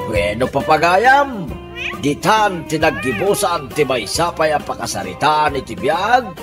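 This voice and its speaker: Male announcer, slow pace, varied pitch, Ilocano accent, radio drama sign-off, music behind. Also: big pitch swings. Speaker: programme announcer.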